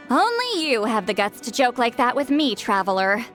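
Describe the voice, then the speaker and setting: A woman's voice: a little high, big pitch swings, unhurried, a bit bright, soft background music. A woman voicing a proud, prickly great shaman in a video game, haughty, defensive and theatrical. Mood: haughty, sheepish.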